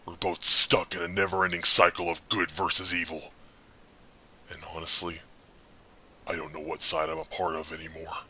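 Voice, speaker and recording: A brooding, world-weary vigilante hero for an audio drama. The low voice is forceful and raspy, held nearly flat. Each phrase comes slowly and gravely. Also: male voice, full character voice. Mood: weary pleading. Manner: slow, grave, intense.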